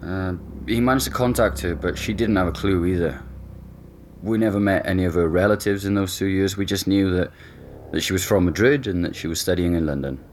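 An actor playing an easygoing young boyfriend being interviewed at home, casual and hesitant in a naturalistic audio drama. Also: male voice, deep voice, fairly quick, a little mellow, slight background noise, close to an everyday voice. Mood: candid, puzzled.

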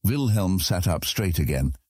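A man narrates scripted fantasy fiction. His voice is very deep, dark and husky. The delivery moves freely in pitch as he tells the story. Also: sing-song, unhurried, no room sound, clean studio sound.

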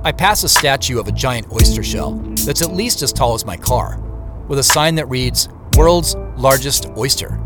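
Audio drama lead, male actor: reflective traveler narrating his journey, mid-pitched, animated inflection, music underneath. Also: moderate pace, close to an everyday voice. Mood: reflective, observant. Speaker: narrator and protagonist. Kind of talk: scripted first-person narration.

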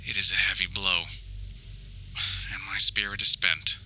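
A man's voice: deep voice, little pitch movement, moderate pace, sharp tone, slight background noise, low-quality recording. A man playing a melodramatic grown son trapped in a house, reading his survival audio diary in grandiose, theatrical, formal phrasing. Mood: solemn. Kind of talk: scripted audio diary monologue, mock-survivalist narration.

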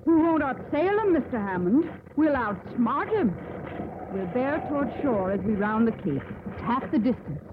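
An actress playing a steely young woman sea captain in 1950s radio drama style, crisp, formal and authoritative. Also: a little high, sing-song, normal speed. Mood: defiant. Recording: tinny, noisy 1950s radio broadcast.

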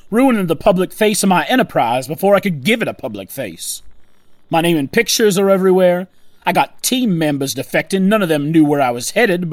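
Male, actor in role: smug, irritable executive schemer, audio drama. Lively, swooping delivery, condescending bluster. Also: fairly high pitch, no room sound, natural voice. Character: scheming corporate boss on the run, irritable, smug.

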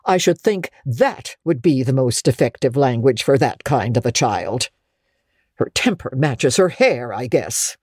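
A woman's voice: rumbling low, lively intonation, unhurried, a little mellow, slightly rough, no echo, clean studio sound, heavily acted. A woman voicing an offended, outspoken elderly village busybody with blunt, haughty indignation, in a dramatic storybook reading. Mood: huffy indignation.

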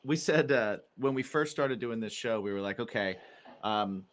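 A man co-hosting a podcast, speaking softly and explaining with small hesitations in casual chat. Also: fairly low pitch, normal speed, crowd noise. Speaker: podcast co-host.

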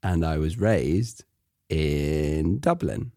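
A podcast host from England with a very deep voice that moves about a lot in pitch as he jokes around. His tone is warm and dark, and he chats casually and playfully. The sound is dry and close to the mic. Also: expressive, very slow, no room sound. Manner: playful, jokey. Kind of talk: casual chat.

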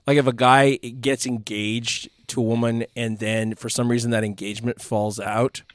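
A man's voice: medium pitch, flat delivery, normal speed, no echo, clean studio sound. A man in his fifties co-hosting a podcast, affable and laughing easily, stumbling a little as he restarts. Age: 50s. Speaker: podcast co-host. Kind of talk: casual podcast interview.

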